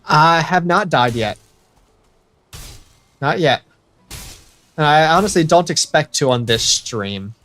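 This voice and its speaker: Slow-talking American man streaming a game. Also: a little high, animated, a bit bright. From United States. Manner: rambling, self-correcting, chats to viewers. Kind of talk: casual livestream chat while playing.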